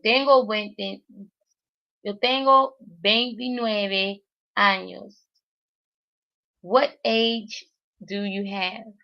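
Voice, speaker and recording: A woman's voice: medium pitch, fairly even intonation, drawn-out words, pauses often. A woman, learning Spanish on a livestream and thinking aloud, hesitant and full of self-corrections. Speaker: Spanish learner streaming a daily challenge. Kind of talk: thinking aloud while working through a language app. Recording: dull lo-fi stream audio.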